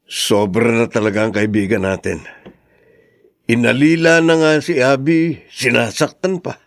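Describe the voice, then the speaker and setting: A man's voice: mid-range voice, fairly even intonation, unhurried, no room sound, studio quality, close to an everyday voice. An actor playing a concerned, protective man in a radio drama, reasoning earnestly with his friends. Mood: exasperated concern. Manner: earnest, questioning. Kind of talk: Tagalog radio drama acting.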